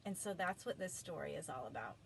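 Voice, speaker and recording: A woman reading a children's storybook aloud with commentary, in an expressive, emphatic storytelling manner. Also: deep voice, a little fast, gravelly, noisy background. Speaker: storybook reader.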